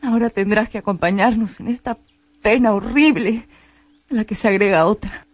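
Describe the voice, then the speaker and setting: An actress playing a grieving, devoted young cousin pleading for justice in a radio drama, with a breathy voice. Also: female voice, mid-range voice, normal speed, no echo, lightly acted. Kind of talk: Spanish-language radio drama acting.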